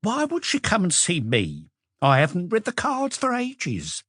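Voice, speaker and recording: A man's voice: high-pitched, huge pitch swings, unhurried, a bit bright, breathy edge, no echo, studio quality, pitched above the performer's own voice, full character voice. A man voicing an indignant, stubborn old woman in her sixties who denies everything, read in an audiobook. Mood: indignant, defensive. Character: defensive old woman, retired fortune teller in her sixties. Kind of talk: audiobook character voice.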